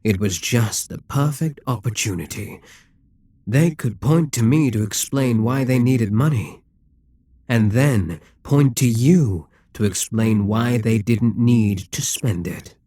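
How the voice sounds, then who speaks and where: A man playing a smug, dominant Demon King in a dramatic ASMR roleplay, speaking in a husky, taunting, persuasive way with deliberate pauses. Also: medium pitch, dark tone, dry recording. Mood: scornful, calculating.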